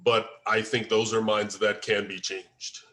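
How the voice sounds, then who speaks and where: This is an American man with a fairly low voice. He talks in an informal, unscripted way, as a writer in an online group discussion. His delivery is halting and hesitant, as he searches for the next word. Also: level tone, a bit bright. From United States. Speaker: screenwriter and first-time filmmaker. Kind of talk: informal discussion after a table read. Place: online group video call.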